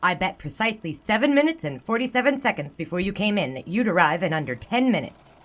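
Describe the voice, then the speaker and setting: An actress playing a cheeky, teasing newsroom colleague. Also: female voice, mid-range voice, sing-song, fast talker, natural voice. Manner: teasing and gleeful.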